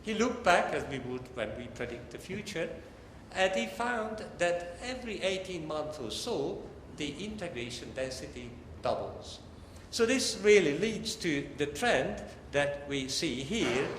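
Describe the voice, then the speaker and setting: An older man giving a technical academic lecture in a halting, deliberate way. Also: fairly high pitch, big pitch swings, fairly bright tone. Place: large lecture hall. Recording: echoey hall with long reverb, background noise.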